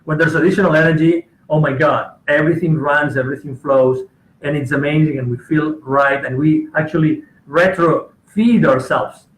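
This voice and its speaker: A man's voice: fairly high pitch, steady tone, normal speed. Enthusiastic male coach, emphatic and repetitive, echoey room. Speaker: guest coach. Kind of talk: casual conversation.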